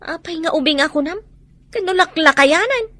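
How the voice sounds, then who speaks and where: A woman's voice: very high-pitched, animated, moderate pace, bright, forceful tone, dry recording, exaggerated voice. A woman playing a nosy, teasing, inquisitive female relative in an audio comedy drama.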